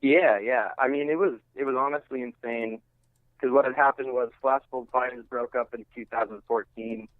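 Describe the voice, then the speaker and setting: A man being interviewed as a musician, chatting casually and haltingly, often saying "like" and "you know". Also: mid-range voice, steady tone, no echo. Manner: halting and conversational, heavy use of "like" and "you know". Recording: thin phone-line sound.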